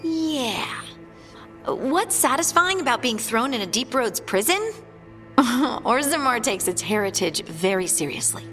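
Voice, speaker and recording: An actress playing an earnest, curious dwarf scholar in a video game, explaining things thoughtfully. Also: female voice, a little high, big pitch swings, a little slow, bright, forceful tone, heavily acted. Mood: wry, thoughtful. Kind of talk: video game character acting. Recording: long hall reverb, faint music.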